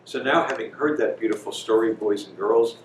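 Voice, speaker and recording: A man speaking as a priest reading a bedtime story to young children, with gentle, deliberate phrasing. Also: fairly low pitch. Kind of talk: slow storybook reading with simple explanations for children. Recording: roomy, distant microphone, clear background noise.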